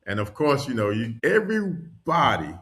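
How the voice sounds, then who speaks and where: A man's voice: mid-range voice, big pitch swings, normal speed, a bit bright. A man from North Carolina talks about his travels in easygoing conversation. He tells his stories with animation and breaks into laughter often. His voice sits in an ordinary middle range for a man. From North Carolina, USA. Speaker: podcast guest, world traveler. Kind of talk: casual conversation.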